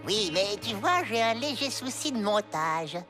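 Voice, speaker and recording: A woman's voice: medium pitch, sing-song, a little slow, a bit bright. A woman voicing a naive, cheerful male inventor in a cartoon, eager and guileless. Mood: matter-of-fact. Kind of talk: French animated comedy dubbing. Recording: music behind the voice.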